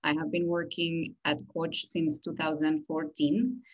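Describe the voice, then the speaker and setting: A woman's voice: low-pitched, little pitch movement, measured pace, low-quality recording. A woman with a Venezuelan accent, a native Spanish speaker, giving an informative promotional talk as a university recruitment director in an explanatory manner. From Caracas, Venezuela.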